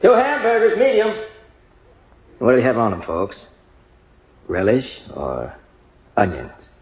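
A man's voice: low-pitched, big pitch swings, measured pace, creaky, full character voice. An actor playing an easygoing lunchroom counterman in an old-style radio drama. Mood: casual, businesslike. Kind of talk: mid-20th-century radio drama acting. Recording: dull, lo-fi old radio recording.

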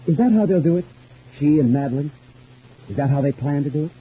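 A dull, hissy old radio drama recording. a disturbed, bitter man who suspects everyone, his pitch leaping about as he fires insistent questions. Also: big pitch swings, fairly quick. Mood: agitated, bitter. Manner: agitated, probing with insistent repeated questions. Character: disturbed, suspicious, bitter man in a family feud. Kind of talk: old radio soap opera acting.

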